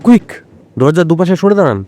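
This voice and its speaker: A male actor playing a shrewd, self-assured detective in an audio drama, speaking in a measured, explanatory way. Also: on the high side, lively intonation, mellow, lightly acted. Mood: hushed urgency. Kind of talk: Bengali audio drama dialogue.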